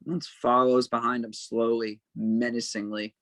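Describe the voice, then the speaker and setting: A man reading stage directions as narrator at a table read, with measured, dramatic phrasing. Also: mid-range voice, little pitch movement, a bit bright, no room sound.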